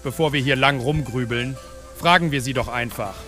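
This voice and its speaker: A man's voice: mid-range voice, normal speed, bright, forceful tone, faint music behind, close to an everyday voice. A man playing a blunt, practical member of a philosophers' circle in a comic audio drama.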